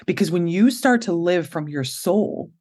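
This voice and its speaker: Woman, 30s, low voice; expressive, candid interview guest who laughs easily. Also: a little slow. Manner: expressive, open, laughs often. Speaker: podcast guest.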